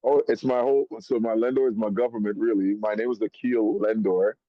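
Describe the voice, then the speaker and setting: Trinidad-born guy on a podcast, slangy and animated, calls you bro, muffled dry audio. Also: on the low side, fairly quick, dry recording. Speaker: rapper guest. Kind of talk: casual podcast chat. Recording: dull, dry lo-fi sound.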